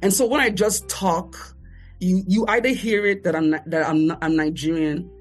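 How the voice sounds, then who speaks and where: A woman who grew up in the United States tells her stories with quick bursts and frequent laughter. Her voice sits a little low for a woman. Faint music plays behind her. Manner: lively, laughs often, quick bursts of speech. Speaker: guest. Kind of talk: animated storytelling in conversation.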